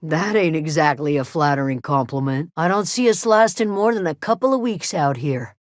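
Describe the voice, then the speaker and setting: A woman playing a gruff, guarded frontier settler who watches over a camp, terse and plain-spoken, in a video game. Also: sits low, normal speed, no echo, clean studio sound, close to an everyday voice. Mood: troubled. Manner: terse, wary, plain-spoken with folksy drawn phrasing. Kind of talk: video game character dialogue.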